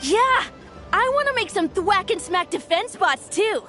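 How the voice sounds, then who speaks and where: An eager young child from an anime game. The voice is very high and lively in pitch, taking its time, polite and full of earnest excitement. Faint music sits behind it. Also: female voice, a little slow, heavily acted. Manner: earnest, exclamatory. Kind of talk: anime-style game voice acting.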